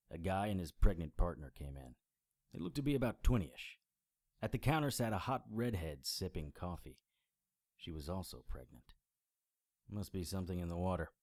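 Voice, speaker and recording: World-weary detective narrator, low voice, animated pitch, sardonic. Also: male voice. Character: wry male private investigator narrator. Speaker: narrator and lead. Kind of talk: hardboiled audio drama narration.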